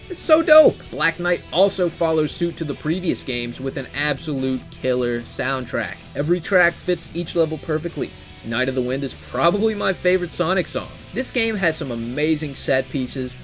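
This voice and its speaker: Casting: young male game reviewer, lightish pitch, very lively inflection, informal and playful, enthusiastic video essay delivery. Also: moderate pace. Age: young adult. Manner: animated, informal, slangy, playful asides. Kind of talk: enthusiastic video essay commentary, casual and scripted. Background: background music.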